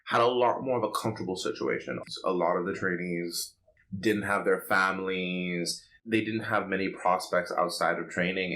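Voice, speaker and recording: A man's voice: low-pitched, a bit bright, poor audio. A man co-hosting a casual pop culture podcast, chatty and opinionated, peppering his talk with "like". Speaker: podcast co-host.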